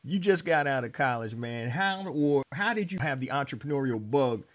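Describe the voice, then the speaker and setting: A man's voice: mid-range voice, animated, moderate pace, highs cut off. A middle-aged man hosting a business podcast, easygoing and upbeat as he hypes up his listeners and interviews a guest. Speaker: podcast host.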